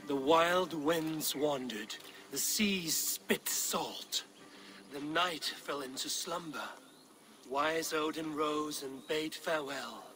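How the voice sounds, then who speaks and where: An actor playing a steadfast, blunt Norse warrior with a declamatory streak in a dramatic video game. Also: male voice, fairly high pitch, takes it slowly, bright, forceful tone. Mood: ominous, theatrical.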